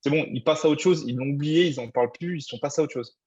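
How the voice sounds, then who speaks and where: A man's voice: a little high, quick pace, darkish tone, slightly rough, dull audio. A man from France speaking as a panelist on a webinar, rambling and dropping fillers.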